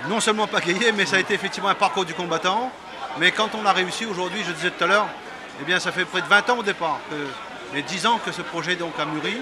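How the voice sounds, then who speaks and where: A man's voice: high voice, fast talker. A man answering an interview as a local official, emphatic and repeating his phrases, outdoors at a public event. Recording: outdoor crowd noise behind. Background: crowd.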